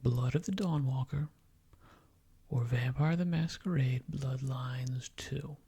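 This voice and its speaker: A man speaking in soft, whispery ASMR style, giving rambling, halting gaming commentary as he thinks aloud. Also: mid-range voice. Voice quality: whispery, breathy, crackly. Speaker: video game commentator.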